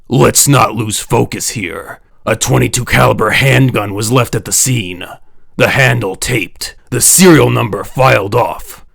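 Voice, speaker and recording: A man acting in a comic book dub as grim, grave crime-fighting men, with a gravelly voice and clipped delivery. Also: lowish voice, a bit bright, full character voice. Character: several grim crime-fighting men: police captain, district attorney, vigilante.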